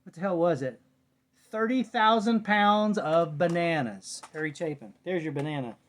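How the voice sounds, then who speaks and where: A man's voice: on the high side, animated, moderate pace, fairly bright tone. A middle-aged American man chatting casually and unscripted in a rambling, folksy, muttering way, as a vlogger. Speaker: vlogger showing a cassette collection. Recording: clear background noise, clicks, home recording.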